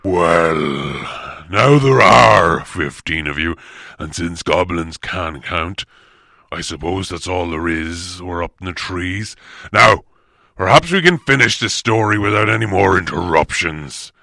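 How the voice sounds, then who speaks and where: A man voicing a huge, gruff, suspicious bear-man in a storybook reading, with a slow, growling, commanding delivery. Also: low-pitched, lively intonation, sharp tone, no echo, clean studio sound, voice pushed down, full character voice. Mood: gruff, exasperated. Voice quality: gravelly, growling. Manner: slow, heavy, commanding, blunt.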